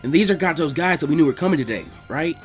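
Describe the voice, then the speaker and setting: Young hot-headed ninja boy read by a man, plain-spoken and earnest, quick delivery, anime storybook. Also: fairly high pitch, expressive, soft background music, close to an everyday voice. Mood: grim.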